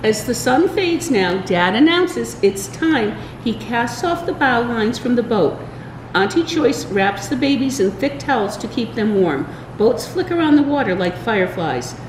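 An older woman reading a children's storybook aloud, expressive and deliberate, with small stumbles. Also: fairly high pitch. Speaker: library story time reader. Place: indoors in a large library room. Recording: roomy echo, faint background room noise.

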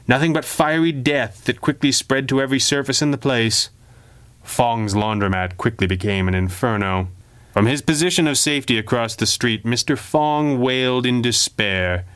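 A man reading a pulp adventure story as a dramatic, theatrical and expressive narrator. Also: mid-range voice, smooth. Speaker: audiobook narrator.

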